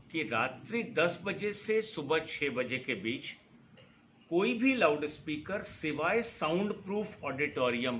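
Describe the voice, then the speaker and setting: A middle-aged man explains the rules point by point, earnest and emphatic. His pitch rises and falls a lot as he leans into key points. A faint hum of room noise sits under his voice. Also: fairly bright tone. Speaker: noise pollution campaigner, foundation secretary. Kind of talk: explanatory interview answer.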